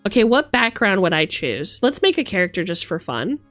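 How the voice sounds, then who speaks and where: A woman streamer chatting casually and reading aloud from a rulebook, with an animated, theatrical manner as she reacts. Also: medium pitch, a little fast, no echo. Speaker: streamer host. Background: music.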